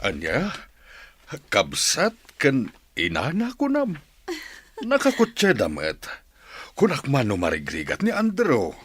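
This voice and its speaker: A middle-aged man with an Ilocano Filipino accent playing an emotional father and household boss in a radio drama, his voice husky. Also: medium pitch, wildly swooping pitch, slow pace, pauses often, a bit bright, no echo, exaggerated voice. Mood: surprised, welcoming.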